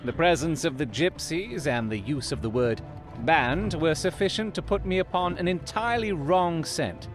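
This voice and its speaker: An actor playing a brilliant Victorian detective in an audio drama, coolly analytical, with precise, theatrical, formal delivery. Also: male voice, on the high side, moderate pace, over music, natural voice. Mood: assured, explanatory.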